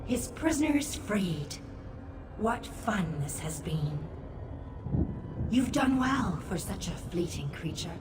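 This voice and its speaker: An actress voicing a haughty, gloating otherworldly servant who scorns mortals in a formal, condescending way, for a video game. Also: female voice, medium pitch, big pitch swings, takes it slowly, long pauses. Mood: amused, scornful. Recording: echoing, distant-sounding, under loud music.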